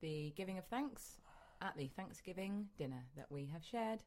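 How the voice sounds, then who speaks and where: A woman's voice: lowish voice, animated, moderate pace, darkish tone. A woman co-hosting a podcast, chatting playfully with wordy, jokey turns of phrase. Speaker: podcast co-host. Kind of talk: casual chat.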